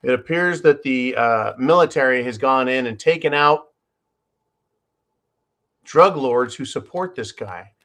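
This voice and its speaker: A man's voice: mid-range voice, lively intonation, unhurried, long pauses, fairly bright tone. A man hosting his own channel, giving opinionated news commentary in a sarcastic, profane way and often addressing his listeners as folks. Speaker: channel host.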